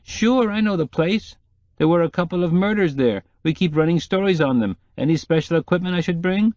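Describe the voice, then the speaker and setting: A man's voice: high voice, brisk, darkish tone, no room sound, pitched above the performer's own voice, lightly acted. A man voicing a brash, hungry male newspaper photographer who talks in a breezy, blunt way on the phone.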